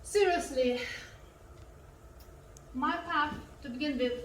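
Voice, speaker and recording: A woman from Lithuania, giving an earnest personal speech with pauses. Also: high-pitched, slight background noise. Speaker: speaker. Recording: echoey hall from far off.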